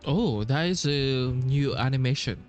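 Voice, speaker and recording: A man with a mid-pitched voice commentates casually over a game playthrough. He talks slowly and hesitantly, musing and repeating himself, with faint music behind him. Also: expressive, lo-fi sound. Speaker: game streamer.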